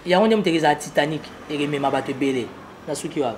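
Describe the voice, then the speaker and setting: A man with a Congolese accent speaks in a high-pitched voice over faint ambient noise. He comments on politics as an interview guest, driving points home emphatically and repeating his phrases. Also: fast talker. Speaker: political analyst being interviewed.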